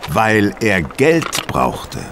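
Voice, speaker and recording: An actor playing a self-assured, coolly superior detective in a German radio play, explaining with courteous precision and theatrical flair. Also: male voice, lowish voice, huge pitch swings, very slow, noisy background, exaggerated voice. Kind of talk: German audio drama acting.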